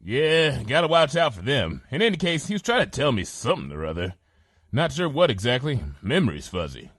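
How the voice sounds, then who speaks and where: A man's voice: mid-range voice, big pitch swings, a little fast, fairly bright tone, breathy edge, no echo, clean studio sound. Man playing a hearty, folksy, wildly swooping pony cook. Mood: curious, relaxed. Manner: folksy drawl, blustery, informal. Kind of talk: audio drama character acting.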